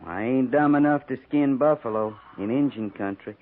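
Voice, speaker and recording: An actor playing a folksy frontier soldier who goads coolly and slyly in a 1950s western radio drama. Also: male voice, medium pitch, moderate pace, close to an everyday voice. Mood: pointed, steady. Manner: folksy, slyly goading understatement. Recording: thin, tinny 1950s radio recording.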